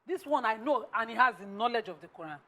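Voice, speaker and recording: A woman with a Nigerian accent arguing insistently in an animated panel debate. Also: on the high side, quick pace. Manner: argumentative, insistent. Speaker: talk show panelist.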